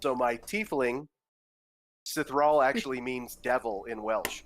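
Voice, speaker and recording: A man's voice: mid-range voice, unhurried, a bit bright. A young man joking wryly with friends while playing a game. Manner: wry, joking. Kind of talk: casual gaming chat.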